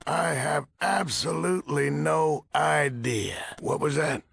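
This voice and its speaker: An actor playing a gruff, blunt, folksy old military leader in a video game, with a gravelly, husky voice. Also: male voice, fairly high pitch, takes it slowly, a bit bright, no room sound, heavily acted. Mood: gruff, matter-of-fact. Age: older. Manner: blunt, folksy, swearing, easily exasperated. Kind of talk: video game character dialogue.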